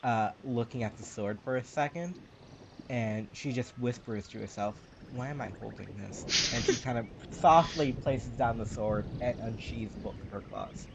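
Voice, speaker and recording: A man at a tabletop game narrating his character's actions casually and haltingly. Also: medium pitch, creaky, a crowd in the background, poor audio.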